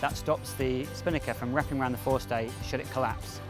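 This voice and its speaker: Young guy teaching stuff like a skipper out on deck, medium pitch, music under him. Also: steady tone, quick pace. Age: young adult. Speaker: sailing instructor and skipper. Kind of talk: instructional explanation to camera. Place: outdoors on a sailing yacht deck at sea.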